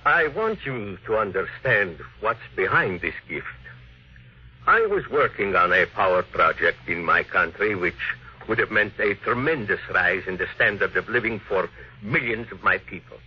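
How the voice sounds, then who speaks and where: An actor playing a grave, resentful foreign engineer in a 1950s radio drama, speaking formally and deliberately. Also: male voice, fairly low pitch, expressive, breathy edge. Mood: solemn, restrained. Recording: muffled old radio broadcast recording.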